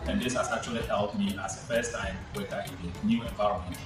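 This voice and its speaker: A man from Ghana, speaking slowly and earnestly about his work experience. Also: fairly low pitch, steady tone. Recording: very echoey room with music.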